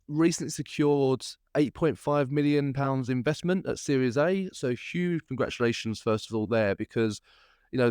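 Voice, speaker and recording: A man's voice: medium pitch, a little mellow, clear, resonant tone, dry recording, studio quality. A man hosting a podcast interview, upbeat and chatty, with frequent fillers and restarts. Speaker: podcast host. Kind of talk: casual interview chat.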